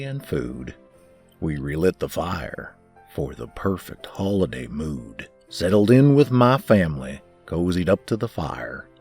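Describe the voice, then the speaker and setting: A man's voice: low-pitched, gravelly, darkish tone, slightly rough, full character voice. A man voicing a big brother farm pony who tells a rhyming holiday story.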